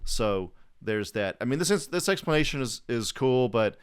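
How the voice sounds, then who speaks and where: A man with a clear, smooth voice at an ordinary pitch chats casually as a podcast co-host. His way of talking is blunt and slangy, with loose, profane language. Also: animated, moderate pace. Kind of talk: casual chat.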